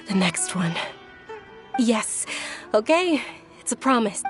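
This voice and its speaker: A woman playing a gentle, wistful, earnest young woman in a video game, speaking politely and sincerely. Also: a little high, big pitch swings, slow pace. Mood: resigned, sad. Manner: soft-spoken, polite, sincere. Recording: game music behind the voice.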